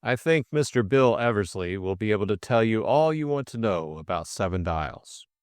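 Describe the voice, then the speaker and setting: A man voicing a calm, firm, plain-spoken police superintendent in an audiobook, speaking in a quiet, measured way. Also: on the low side, smooth, no echo, studio quality, natural voice. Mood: guarded. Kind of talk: audiobook character acting.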